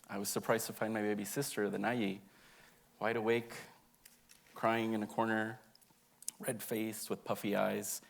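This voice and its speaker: A man's voice: lowish voice, vocal fry. A young man reading an autobiographical monologue aloud in an earnest, emotive storytelling way. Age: young adult.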